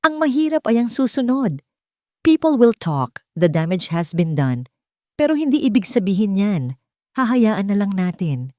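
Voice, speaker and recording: A woman's voice: mid-range voice, sing-song, measured pace, mellow, no echo, clean studio sound, voice pushed up. A woman with a Filipino accent voicing a protective, authoritative wealthy man in a romantic story. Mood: steady, protective. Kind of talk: romantic audio drama storytelling.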